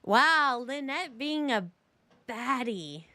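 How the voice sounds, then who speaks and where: A woman's voice: high-pitched, expressive, takes it slowly, sharp tone, no room sound. A woman streamer giving casual live game commentary and chatting to viewers in a rambling, slangy way. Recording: game music behind.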